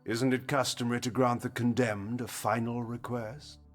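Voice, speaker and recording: A male actor voices a cynical, imperious vampire lord in a gothic video game. He speaks at a medium pitch in measured, grandiloquent, archaic rhetoric, cunning and fatalistic. Music is clearly heard behind him. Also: little pitch movement, lightly acted. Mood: grave, portentous. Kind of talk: theatrical scripted video game dialogue.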